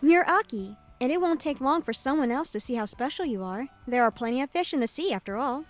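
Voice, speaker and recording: Sweet young visual novel heroine, high voice, gentle and hesitant, music underneath. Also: female voice, expressive, a little fast, no echo. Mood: warm, resigned. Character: sweet, soft-hearted young woman, visual novel love interest.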